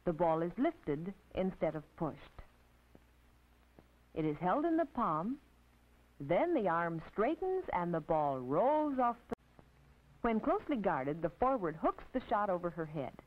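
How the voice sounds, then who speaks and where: An American woman narrating an instructional film as a teacher, in a precise, didactic, scripted manner with measured phrasing. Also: fairly low pitch, very animated. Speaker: narrator and physical education instructor. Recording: tinny, band-limited 1950s film soundtrack.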